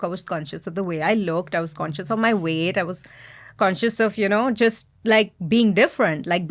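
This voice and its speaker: A woman from India with an Indian accent, speaking candidly and thoughtfully as a podcast guest. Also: medium pitch. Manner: soft-spoken, candid, thoughtful. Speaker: guest, business founder. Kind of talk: reflective podcast interview.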